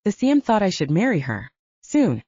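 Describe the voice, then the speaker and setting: A woman's voice: mid-range voice, wildly swooping pitch, normal speed, dark tone, slightly rough, no echo, muffled recording. A woman narrating a first-person story as a scripted audiobook reading.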